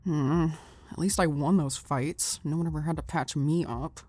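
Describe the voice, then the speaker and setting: A woman doing Vi, a gruff cocky brawler. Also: low-pitched, fairly even intonation, normal speed, warm tone, dry recording. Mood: teasing. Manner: teasing, blunt, cocky. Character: tough brash young woman brawler. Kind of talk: fan audio roleplay.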